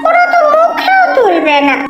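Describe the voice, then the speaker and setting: A busy cartoon mother with a West Bengal Bengali accent, extremely high and animated. She is teasing and a bit exasperated, in a roomy echo. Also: normal speed, muffled recording, full character voice. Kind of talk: cartoon family dialogue. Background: loud background music.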